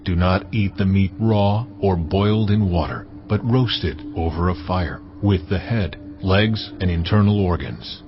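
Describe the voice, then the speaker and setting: An actor voicing God as a commanding divine male figure, giving measured, authoritative decrees in a dramatized audio Bible. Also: low voice. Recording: dull lo-fi sound with clear music.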